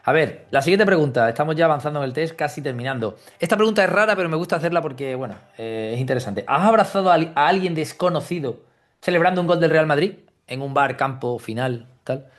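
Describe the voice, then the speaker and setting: A man's voice: fairly high pitch, animated, fast. A man with a Spain Spanish accent hosting a casual football chat, talking informally with plenty of slang. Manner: informal, chatty, peppers talk with slang. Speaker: podcast host and interviewer.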